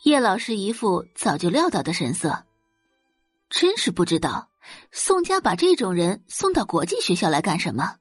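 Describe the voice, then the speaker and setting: Woman narrator for serialized audio drama, expressive delivery, lively pitch, husky, dry sound. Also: normal speed, no echo, faint music behind.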